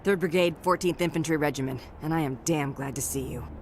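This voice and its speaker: An actor performs a gritty military lieutenant for a video game, tired of fighting but resolute. The talk is blunt and clipped, at a middling pitch. There is some steady noise behind the voice. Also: quick pace, lightly acted. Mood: weary, frank.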